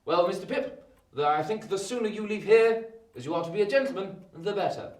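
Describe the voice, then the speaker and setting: An actor playing a brisk, imperious Victorian lawyer with formal, emphatic phrasing in a theatrical radio play. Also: male voice, high-pitched, distant mic, lightly acted. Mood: brisk, businesslike. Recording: roomy echo, off the mic.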